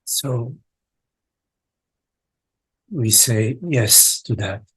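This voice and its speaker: A man leading a guided meditation as a teacher, speaking in halting short phrases with long contemplative pauses and a husky voice. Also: medium pitch, a bit bright, dry recording. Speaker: meditation teacher leading a live online session.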